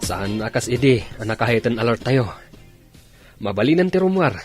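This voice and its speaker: A man's voice: medium pitch, expressive, normal speed, faint music behind, lightly acted. A man playing an encouraging, teasing superior officer in a radio drama.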